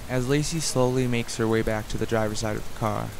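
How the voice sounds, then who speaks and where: Male narrator for amateur radio drama: lowish gravelly voice reading scene directions, clear background noise. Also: steady tone, fairly quick. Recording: noisy, rain and sound effects behind.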